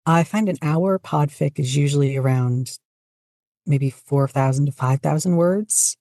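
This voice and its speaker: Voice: medium pitch, measured pace, dark tone, no echo, studio quality. A podcast guest chatting casually about their own creative work in a hesitant, self-deprecating way. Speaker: podcast guest, fan fiction writer and audio narrator. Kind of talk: casual interview chat.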